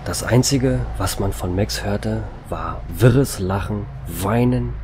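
Male horror narrator, slightly low pitch, suspenseful and dramatic, with audible background noise. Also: slow.

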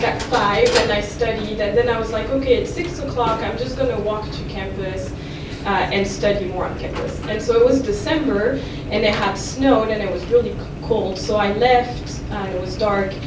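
A young woman from France, a native French speaker, giving a chatty, self-deprecating lecture at a podium, with many fillers and restarts. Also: medium pitch. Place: lecture hall with audience. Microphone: podium microphone heard from a distance. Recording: echoey room from far off, noisy.

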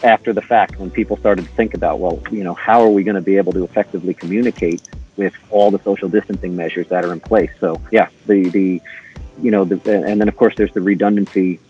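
A middle-aged man giving an informal, halting technical walkthrough as a podcast guest, step by step. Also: low-pitched, fairly even intonation, dark tone, muffled recording, soft background music. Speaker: podcast guest, intercom engineer.

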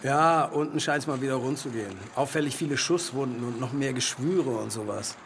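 An actor in a radio play playing an impatient, flippant heavenly clerk, sarcastic and colloquial. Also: male voice, medium pitch, brisk, a bit bright, slight background noise, close to an everyday voice.

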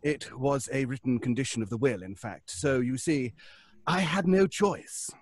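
A man's voice: medium pitch, moderate pace, breathy edge, dry recording, natural voice. An actor playing a polite, upbeat lawyer in an audio drama, formal and wordy in his speech. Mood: courteous, formal. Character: polite, fussy, upbeat male estate lawyer.

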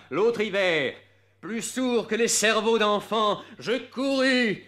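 A man giving a dramatic literary poetry recitation in measured phrases with drawn-out words, swinging between hushed delivery and loud declamation. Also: medium pitch. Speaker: poetry reader, performer.

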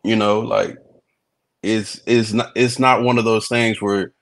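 A man from Texas, a comedian, chatting in a relaxed video-call interview, laughing easily and repeating words as he starts his sentences. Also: on the low side, unhurried. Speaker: comedian and reality show guest. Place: indoor room.

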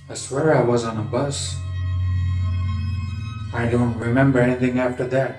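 A man playing a confused, frightened doctor in a dramatic monologue, hushed, halting and disoriented. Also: mid-range voice, little pitch movement, natural voice. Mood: disoriented, anxious. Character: confused, frightened male doctor pleading in desperation. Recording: echoey room with loud music over it. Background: loud scary music.

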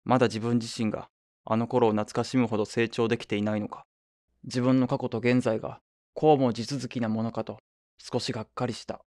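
A man's voice: medium pitch, level tone, a little fast, darkish tone, no echo, clean studio sound, natural voice. An actor playing a wistful, restless young man back in his hometown, narrating a radio drama reflectively and understatedly.

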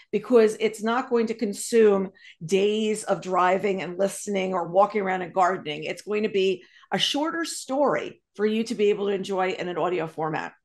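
A middle-aged woman with an American accent, chatting casually as the host of an interview. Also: medium pitch. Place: home room with bookshelves. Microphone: video call.

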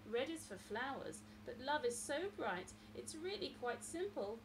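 A woman's voice: high voice, sing-song, a little slow, far from the mic, pitched above the performer's own voice, full character voice. A woman voicing a self-assured, matter-of-fact parrot in a storybook, in a noisy room recording. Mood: confident.

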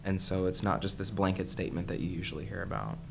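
A man speaking in a measured way, with many pauses, as a psychologist on a convention panel in a hotel conference room. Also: deep voice, fairly even intonation, gravelly, slight background noise.

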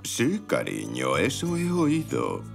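A playful, easygoing zebra father from a children's cartoon. He drawls slowly with a creaky tone and big swings of intonation. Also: medium pitch, huge pitch swings, heavily acted. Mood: agreeable. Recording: loud music behind the voice.

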